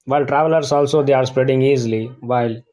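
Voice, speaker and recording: A man calls in to a talk show and speaks haltingly, with many pauses. His grammar is loose, and his voice has a mellow, relaxed tone. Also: medium pitch. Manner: halting, with frequent "uh" and nonstandard grammar. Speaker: caller. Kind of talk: casual call-in chat.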